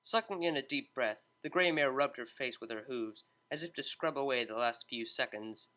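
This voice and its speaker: A man narrating a fan-written story in a steady, measured reading. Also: mid-range voice. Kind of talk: fanfiction audiobook narration.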